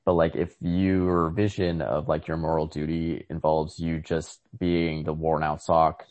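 A man with a deep voice chats about short stories as a podcast co-host. He feels his way through each thought, tentative and often rewording himself midway. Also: flat delivery, darkish tone. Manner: halting, tentative, self-correcting. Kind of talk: casual literary discussion.

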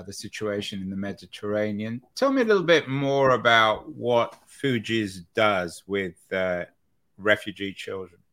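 A man hosting an interview show, introducing his guest and asking questions in a halting way with many pauses. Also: fairly low pitch, fairly bright tone. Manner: halting, many uhs and restarts. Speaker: podcast host.

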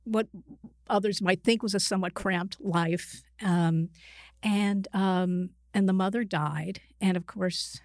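An older woman, a psychiatrist guest speaking conversationally in an interview, halting and reflective, often qualifying herself mid-sentence. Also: medium pitch, steady tone, a little mellow, dry recording, studio quality. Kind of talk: conversational interview, recounting clinical experience.

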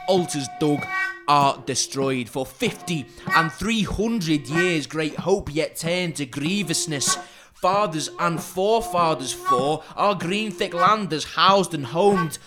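An actor playing a grave chronicler, declaiming dire alliterative verse in a solemn, measured way. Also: male voice, on the high side. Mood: fierce lament. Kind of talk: dramatic alliterative verse recitation. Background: music.

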